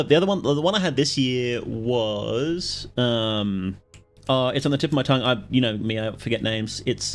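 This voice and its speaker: Male livestream host, mid-pitched, casual and halting, rambles and restarts sentences, faint music underneath. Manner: rambling, halting, self-interrupting. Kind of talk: casual livestream chat with viewers.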